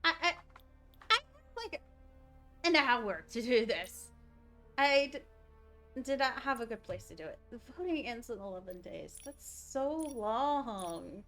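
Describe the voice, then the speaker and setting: A woman's voice: a little high, very animated, unhurried, fairly bright tone, soft background music. A woman livestreaming a game, chatting casually and thinking aloud as she reacts to what is on screen. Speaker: game streamer.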